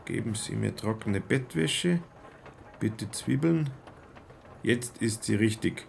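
A man's voice: on the low side, animated, slow, gravelly, slight background noise, full character voice. An actor playing a self-important young German salesman in a radio play, with stilted, over-correct speech. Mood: studious, reciting. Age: young adult.

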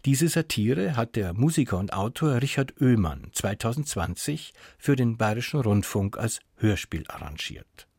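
A man reading a scripted radio introduction as an announcer, in a measured, formal and informative manner. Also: lowish voice, a little mellow, husky, no room sound, clean studio sound.

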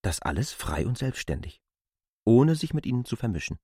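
A man with a husky voice giving a scripted narration of literary fiction. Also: mid-range voice, big pitch swings, normal speed, dark tone, no echo, studio quality.

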